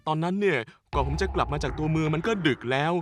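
A man voicing a jittery young village repairman in a TV cartoon, excitable and easily scared. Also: a little high, fast talker, natural voice. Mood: insistent. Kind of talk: Thai TV cartoon voice acting. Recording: music bed under dialogue.

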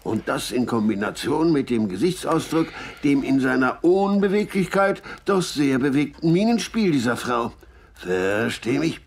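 An actor playing a flustered, blustering police constable in a German audio drama, stammering and repeating himself with pompous, rough delivery. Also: male voice, mid-range voice, expressive, a little slow, a little hiss or hum. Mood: earnest, flustered.

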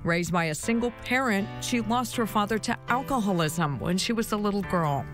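Female TV host and narrator, American, scripted broadcast delivery, mid pitch, music bed. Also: expressive, normal speed. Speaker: TV pageant show host and narrator.

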